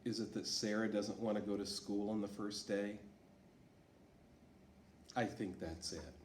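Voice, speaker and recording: A man's voice: lowish voice, a little hiss or hum. A man reading a storybook aloud to children as a teacher, asking them questions in an encouraging way. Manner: slow, lively, encouraging teacher talk. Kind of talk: read-aloud lesson for children. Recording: echoey room.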